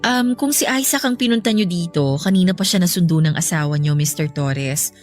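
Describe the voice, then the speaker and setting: A woman's voice: on the low side, a little fast, a little mellow, soft background music, natural voice. A woman playing an earnest, guarded young schoolteacher in a radio drama, speaking with polite restraint. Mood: cold, formal.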